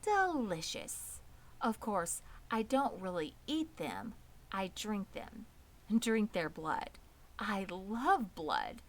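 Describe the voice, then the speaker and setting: A woman's voice: mid-range voice, sing-song, slow. A woman voicing a poised, pleasant and proud female spider in a children's storybook, composed, precise and matter-of-fact. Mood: relishing. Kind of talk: children's storybook read aloud.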